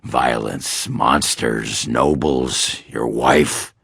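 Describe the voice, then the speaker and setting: A man voicing a gruff, crude, hard-drinking middle-aged dwarf warrior for a video game, with a gravelly, raspy voice and blunt, swearing delivery. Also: very deep voice, extremely slow, fairly bright tone, no echo, studio quality, exaggerated voice. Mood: gruff, boastful. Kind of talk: video game character acting.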